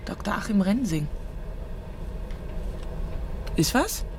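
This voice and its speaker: A woman's voice: mid-range voice, expressive, slow, a little hiss or hum. An actress playing a teasing woman in a conversational radio drama scene. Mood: curious. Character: teasing, animated woman looking forward to holiday.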